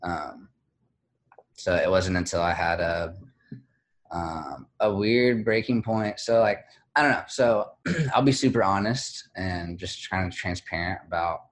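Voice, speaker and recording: A man speaking as an interview guest, candid and reflective, pausing often with fillers and restarts. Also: deep voice, a bit bright. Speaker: guest, blogger.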